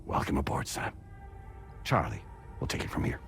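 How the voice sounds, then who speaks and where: A man's voice: moderate pace, music in the background, close to an everyday voice. A man playing an authoritative corporate president in a video game, speaking with formal, courteous, oratorical polish. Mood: courteous, assured. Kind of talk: video game cutscene acting.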